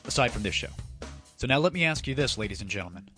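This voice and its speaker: A man's voice: medium pitch, animated, fast talker, bright, forceful tone. A man hosting an online gaming comedy show, delivering a scripted, crude and sarcastic rant in a theatrical, mock-grandiose way. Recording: music under the voice. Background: music.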